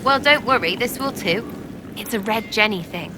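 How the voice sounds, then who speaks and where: A woman's voice: a little high, animated, moderate pace, natural voice. A woman with an English accent voicing an irreverent, slangy young elf rogue in a video game. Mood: cheeky. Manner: brash, slangy, mocking. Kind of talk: video game character acting. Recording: noisy game audio from a stream.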